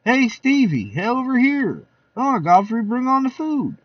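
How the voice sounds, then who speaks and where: A man voicing loud, rowdy party guests at a backyard barbecue. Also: very high-pitched, sing-song, moderate pace, no room sound, recorded from a distance, muffled recording, pitched above the performer's own voice, heavily acted.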